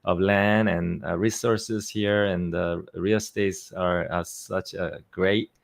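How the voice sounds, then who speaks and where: Korean-accented man, low gravelly voice. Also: fairly even intonation. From South Korea. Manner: halting, many fillers and restarts, quick laughs. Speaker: guest, finance CEO. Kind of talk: casual interview.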